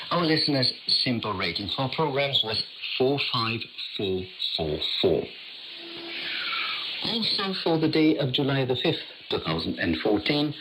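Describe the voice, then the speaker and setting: Male shortwave announcer with lively, swinging intonation. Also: mid-range voice. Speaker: radio host reading listeners' reception reports. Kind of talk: scripted shortwave radio mailbag reading. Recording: noisy shortwave radio reception. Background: radio static and hiss.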